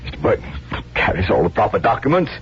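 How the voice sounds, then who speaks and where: An actor playing a stern Confederate colonel with a formal, courtly manner in a 1970s radio drama. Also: male voice, lowish voice, big pitch swings, fast talker, soft background music. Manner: formal, courtly, pleading to agitated. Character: stern Confederate colonel, torn by love, urgently commanding. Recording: dull vintage radio recording.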